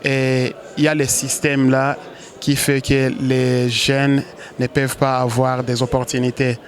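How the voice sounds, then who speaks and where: A man from Congo, a football manager, answering interview questions in a halting way with many restarts and pauses. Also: medium pitch, level tone. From Congo (DRC).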